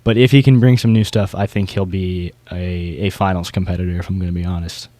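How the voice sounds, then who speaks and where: A man's voice: deep voice, little pitch movement, quick pace, mellow, dry recording. A man hosting a podcast, chatting casually about a competition scene. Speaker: podcast host.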